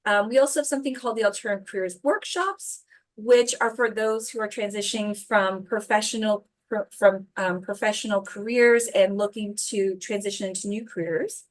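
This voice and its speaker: A woman's voice: medium pitch, normal speed, low-quality recording. A woman from a career services office, upbeat and promotional, introducing programs and a guest speaker on an online video call. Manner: upbeat, promotional, some restarts. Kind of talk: webinar introduction.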